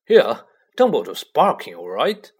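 A reader takes on a chatty storybook schoolboy. The boy is breezy and proud, and his pitch bounces around as he talks. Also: very animated, normal speed, breathy edge.

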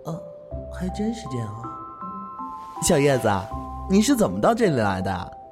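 A man's voice: mid-range voice, huge pitch swings, moderate pace, over music. An actor playing a cheerful, good-hearted young man who chats eagerly and laughs easily in an audio drama. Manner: bright, chatty, laughs easily.

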